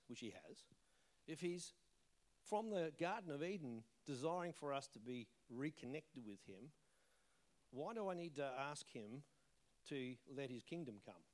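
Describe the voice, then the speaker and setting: A man preaching as a visiting pastor in a church auditorium, conversational with joking asides and emphatic rises on key words, his voice carrying an occasional croak from the tail end of a cold. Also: on the high side. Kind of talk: sermon with prayer.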